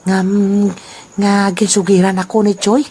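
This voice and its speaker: A woman's voice: mid-range voice, flat delivery, measured pace, dark tone, husky. A woman voicing a sly old man who sells off a friend's things and chuckles at his scheme. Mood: scheming. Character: sly old man selling stolen goods. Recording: noisy amateur recording.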